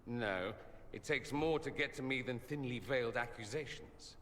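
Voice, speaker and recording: A man's voice: medium pitch, moderate pace, a bit bright, a little hiss or hum, lightly acted. A man playing a proud, witty young nobleman mage with an arch, dryly sardonic manner in a video game. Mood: sincere. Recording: reverberant game dialogue with background ambience.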